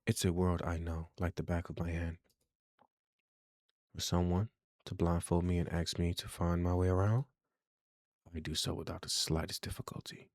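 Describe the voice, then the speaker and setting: A man narrating a horror game playthrough in a hushed, slow, deliberate reading style. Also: deep voice, little pitch movement, no room sound, studio quality. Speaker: game streamer, channel host.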